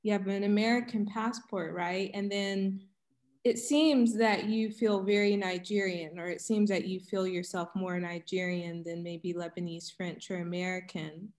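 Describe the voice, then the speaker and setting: A woman hosting a casual interview, curious and relaxed, with frequent fillers. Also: medium pitch, a little slow. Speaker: podcast host.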